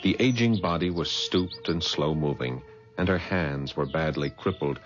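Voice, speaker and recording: A man's voice: very deep voice, moderate pace. An actor playing a wry private detective who narrates his own story and turns flustered when startled, in a 1970s radio drama. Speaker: narrator and lead. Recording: dull vintage radio with music.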